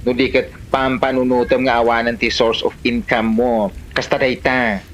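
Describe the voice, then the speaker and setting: A woman's voice: a bass voice, level tone, normal speed. A woman acting as a nosy, blunt and suspicious neighbor in an Ilocano comedy drama, with an Ilocano Filipino accent. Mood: mocking, blunt.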